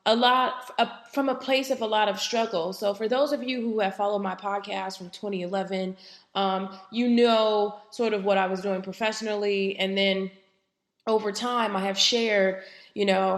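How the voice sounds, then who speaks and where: A woman hosting a solo podcast, talking reflectively while a bit raspy from a head cold, with lots of "um" fillers. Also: medium pitch, fairly even intonation, moderate pace, roomy sound. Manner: conversational, frequent "um" fillers. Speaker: podcast host.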